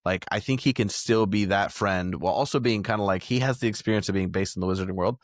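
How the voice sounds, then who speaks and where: A man's voice: fairly low pitch, fast, no room sound, studio quality. A man co-hosting a podcast, chatting casually about books and audiobooks. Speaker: podcast co-host. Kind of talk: casual chat discussing books.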